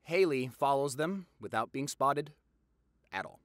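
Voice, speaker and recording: A man's voice: medium pitch, no echo. Gossipy young-sounding boyfriend, acted by a man, close and dry. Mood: incredulous, dramatic. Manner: animated storytelling, dramatic pauses, repeats for emphasis. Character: popular boyfriend gleefully spilling juicy gossip to partner. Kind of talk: audio roleplay, conversational monologue.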